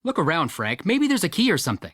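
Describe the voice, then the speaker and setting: A woman's voice: fairly low pitch, huge pitch swings, fast, breathy edge, no room sound, clean studio sound, exaggerated voice. A woman playing a cheeky, easygoing young sidekick in scripted video game dialogue. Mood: cheeky, relaxed.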